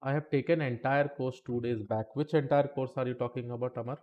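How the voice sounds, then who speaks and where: A man's voice: medium pitch, fairly even intonation, a little fast, muffled recording. A man teaching statistics in a live-streamed lesson, chatty and talking to the chat between points, saying okay often.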